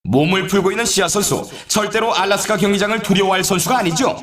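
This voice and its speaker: A man playing an excitable video game snowboard race announcer, with an emphatic, showy broadcast delivery. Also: high-pitched, quick pace, reverberant, crowd noise, lightly acted. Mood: excited, anticipating. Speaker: sports announcer. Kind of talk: scripted sports commentary for a snowboard video game.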